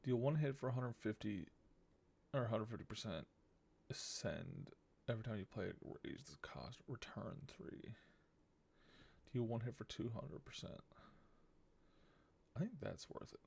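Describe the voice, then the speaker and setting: A man's voice: on the low side, lively intonation, measured pace, vocal fry, pauses often, darkish tone, breathy edge. A man giving casual let's play commentary, muttering his decisions and reading game text aloud as he goes. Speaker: video game streamer. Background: game music.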